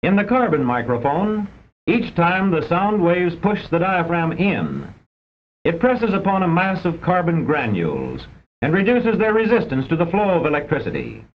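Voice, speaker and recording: High-pitched American man, vintage film narrator. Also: big pitch swings, slight background noise. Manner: measured, didactic, precise enunciation. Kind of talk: formal scripted narration of a vintage instructional film. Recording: dull, band-limited old film soundtrack.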